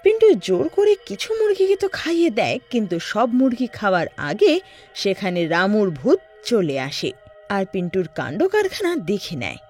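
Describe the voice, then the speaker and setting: Very animated woman storyteller. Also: mid-range voice, moderate pace, soft background music. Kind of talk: dramatic scripted story narration.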